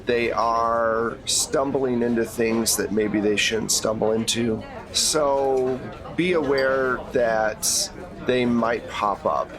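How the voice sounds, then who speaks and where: A man playing a businesslike female agency handler in a tabletop game, briefing agents in a measured, matter-of-fact way. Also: on the low side.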